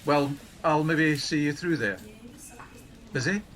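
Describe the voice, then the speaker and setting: A man's voice: fairly high pitch, takes it slowly, a bit bright. A middle-aged man playing a wry, inquisitive senior colleague at a helpline in a radio play, with a dry, probing manner. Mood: wry, casual. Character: wry, inquisitive older male colleague in charge.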